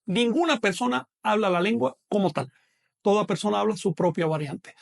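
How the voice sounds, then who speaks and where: A man's voice: high voice, lively intonation, moderate pace, creaky. A man with a non-Mexican Spanish accent teaching a language class online, explaining at length and checking in with his students. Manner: explanatory, addresses students directly with check-in questions. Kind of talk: online lecture.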